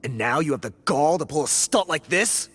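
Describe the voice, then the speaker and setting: A man's voice: on the high side, animated, normal speed, fairly bright tone, faint music behind. An actor playing a sarcastic, combative male prison escapee in a game cutscene. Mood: bitter. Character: bitter hot-tempered male prison escapee.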